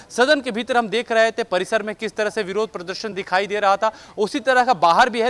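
A male field reporter in his thirties speaks into a handheld mic outdoors. His voice sits high, and he talks in long, filler-laden run-on sentences. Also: a bit bright. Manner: fast, filler-heavy reporting with repeated "jo hai". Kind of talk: live news report from outside. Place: outdoors outside a parliament building.

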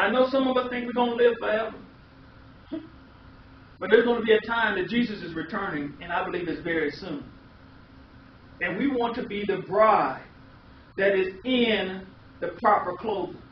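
A middle-aged American man preaching a sermon in an emphatic way, with repeated phrases and rhetorical questions. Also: high-pitched. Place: small indoor room. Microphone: distant camera microphone. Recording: echoey, muffled, distant camera audio with hiss.

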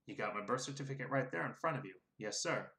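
A man reading aloud, voicing a quiet, careful young man who gives short, soft answers. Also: medium pitch, lively intonation, quick pace. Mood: subdued. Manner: soft, subdued, clipped answers. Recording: roomy echo.

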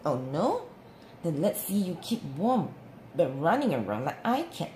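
A woman's voice: fairly low pitch, very animated, unhurried, a little mellow. Woman as a boastful, taunting dog. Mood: scornful. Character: loud, boastful, scornful dog. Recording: roomy with clear background noise.

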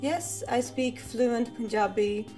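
Soft-spoken young woman. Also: a little high, takes it slowly, soft background music. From Sweden. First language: Swedish. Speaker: vlogger. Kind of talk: casual talk to camera. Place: living room indoors.

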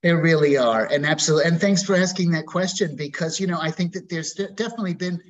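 A man's voice: fairly high pitch, fast talker, highs cut off. Upbeat man in his forties on a video call. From Bermuda. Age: 40s. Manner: upbeat, effusive, with frequent fillers and restarts. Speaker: real estate agent, guest. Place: indoor room at home. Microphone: video call webcam.

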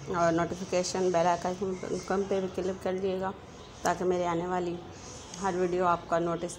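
A woman with a Pakistani Urdu accent hosting a casual home cooking tutorial, talking chattily and tagging each step with a quick check-in. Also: lowish voice, background noise. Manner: chatty, repeats "theek hai" after each step. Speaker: home cooking channel host.

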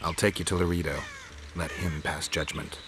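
A man plays a stoic witcher in a fantasy game. His voice is very low and his lines are blunt, terse and deadpan. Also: normal speed, background noise, natural voice. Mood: stern, curt. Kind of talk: dramatic video game acting.

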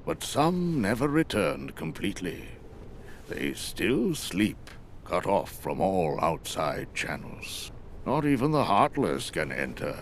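A man voicing a grave, wise old sorcerer mentor in a fantasy video game, speaking in a solemn, formal, deliberate manner. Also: deep voice, a little hiss or hum.

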